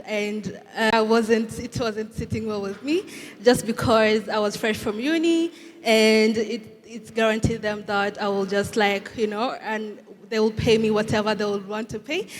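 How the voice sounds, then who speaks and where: A young woman shares her path on a conference panel with frank, lively storytelling. Her voice sits somewhat high. A long hall echo hangs around her. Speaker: panelist, Web3 ecosystem builder. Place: conference stage. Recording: echoey hall.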